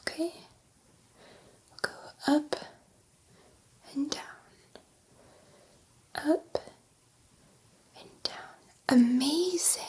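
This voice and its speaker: A woman voicing a gentle, excitable female alien scientist in an ASMR roleplay, soothing a human subject in a soft, breathy whisper and repeating words reassuringly. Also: high-pitched, very slow, pauses often. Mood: gentle, instructing, then delighted.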